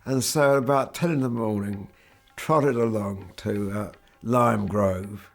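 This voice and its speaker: An older man, a guitarist, telling anecdotes from his life in a relaxed, wry, conversational way. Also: lowish voice, takes it slowly, vocal fry, faint music behind. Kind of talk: reflective anecdotal storytelling.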